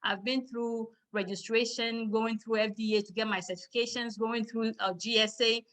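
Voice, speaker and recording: Guest: Ghanaian businesswoman, firm and emphatic, bright, slightly high voice, video call. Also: fairly even intonation, moderate pace. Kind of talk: casual video call discussion.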